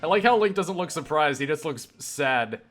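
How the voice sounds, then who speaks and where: Higher-pitched man joking over game music. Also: lively intonation, normal speed, a bit bright. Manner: profane, riffing, rambling. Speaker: let's play co-commentator. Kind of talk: casual joking game commentary. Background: video game music.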